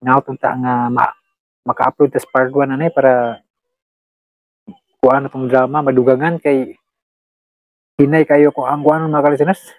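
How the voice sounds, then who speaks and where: A man's voice: medium pitch, flat delivery, normal speed, pauses often, no echo, poor audio. A man with a Cebuano Filipino accent chatting casually to listeners as a host, rambling and often searching for words. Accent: Filipino, Cebuano-speaking. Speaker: channel host. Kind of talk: unscripted casual chat to listeners.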